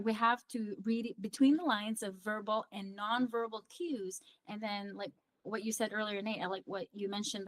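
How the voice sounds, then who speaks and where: A woman's voice: medium pitch, no room sound, low-quality recording. A woman raised in the Philippines, with Pangasinan as her first language, co-hosting a radio chat show and giving thoughtful advice. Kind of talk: casual chat.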